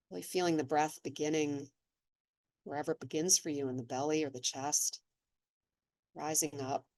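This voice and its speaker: A woman slowly and gently leading a guided meditation, speaking in a soothing way. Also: deep voice, dry recording. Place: seated in an office chair.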